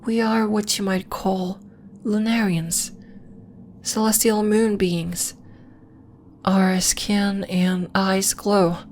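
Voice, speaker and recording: Slow, soothing celestial moon healer voiced by a woman. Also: mid-range voice, slight background noise, close to an everyday voice. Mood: calm, reassuring, then hushed, wondrous. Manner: soothing, measured, formal, faintly condescending. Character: gentle, lofty female lunarian healer, celestial moon being. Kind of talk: ASMR roleplay, scripted, addressed to the listener.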